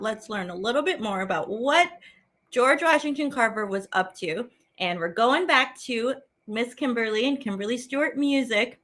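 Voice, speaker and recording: A woman hosting a children's arts lesson as a teaching artist, expressive, stretching words for emphasis as she explains and reads aloud. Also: fairly high pitch. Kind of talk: animated children's lesson and poem reading.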